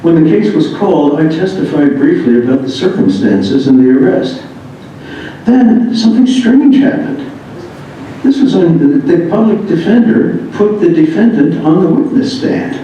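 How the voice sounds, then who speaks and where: American man, amused storytelling read from text, soft mellow tone, heavy room echo, dull lo-fi sound. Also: on the high side, lively intonation, moderate pace. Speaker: reader of another writer's memoir. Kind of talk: reading aloud first-person humorous anecdotes. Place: echoey indoor room. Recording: echoey room, distant mic, dull, faint noise.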